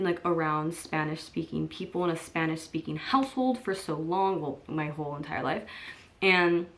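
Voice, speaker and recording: A young woman in her twenties chatting casually to camera in a get-to-know-me vlog, full of "like" and "um" and quick laughs. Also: fairly low pitch, fairly bright tone. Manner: chatty, lots of "like" and "um", laughs easily. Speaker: vlogger.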